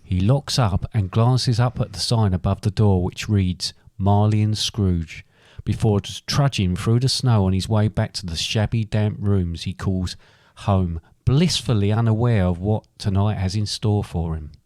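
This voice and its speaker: A man's voice: lowish voice, moderate pace, hardly any pauses, a little mellow, dry recording, studio quality. A man, a podcast co-host, reading scripted storybook narration for a comic pantomime.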